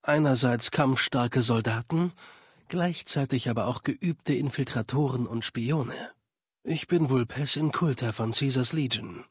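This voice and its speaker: A man voicing a cold, calculating spymaster of a legion in a video game, speaking in a formal, measured, condescending way. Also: medium pitch, breathy edge, no echo, clean studio sound. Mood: cool, assured. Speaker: game character voice actor. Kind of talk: dubbed video game dialogue.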